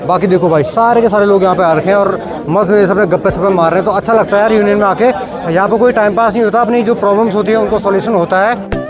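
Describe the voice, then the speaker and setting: A man with a high voice chats informally to the camera as a vlogger. His talk is casual and friendly in wording. Clear crowd chatter and outdoor city sound surround him. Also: fairly even intonation, rapid-fire. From Rudraprayag, Uttarakhand, India. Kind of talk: casual vlog talk to viewers.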